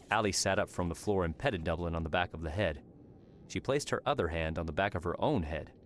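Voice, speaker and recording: A man narrating a scripted fantasy story as an audiobook narrator. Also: deep voice. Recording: clearly noisy background.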